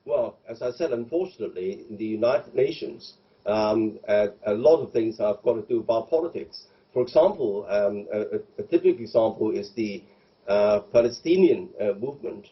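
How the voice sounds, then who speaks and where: A man speaking as a debate guest, explaining his view in a measured, halting way. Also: on the low side, animated, slightly rough, muffled recording.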